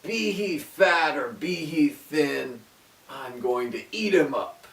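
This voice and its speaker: A man voicing a wicked old female witch who eats children, in a high, loud, sing-song old-lady voice. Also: lively intonation, takes it slowly, vocal fry, exaggerated voice. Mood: bossy. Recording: echoey room, mic far off.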